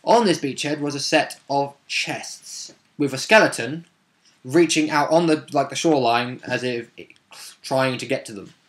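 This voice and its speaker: A man's voice: medium pitch, moderate pace, fairly bright tone. A man casually recounting a tabletop game session, rambling along with wry, informal asides.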